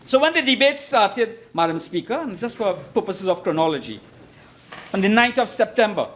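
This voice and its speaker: A man's voice: sits high, very animated, moderate pace, fairly bright tone, a little hiss or hum. A Trinidadian man giving a formal parliamentary debate speech as an opposition member, emphatic and oratorical, repeating phrases for effect. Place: parliament chamber.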